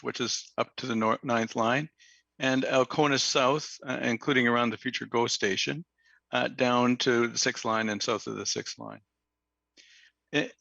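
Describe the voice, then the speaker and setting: A slightly hoarse man gives a planning presentation to a council meeting, halting often with fillers and restarts. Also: medium pitch, fairly bright tone, no room sound. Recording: dull, dry lo-fi meeting audio.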